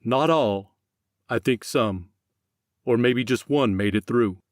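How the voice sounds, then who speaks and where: A man's voice: mid-range voice, slow, dry recording, close to an everyday voice. Male narrator as pained overseer, audiobook character, guarded, defensive, terse, dry recording.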